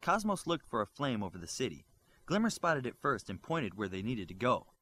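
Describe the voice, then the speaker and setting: Male audiobook narrator, mid-pitched, lively intonation, expressive read. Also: normal speed. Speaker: audiobook reader.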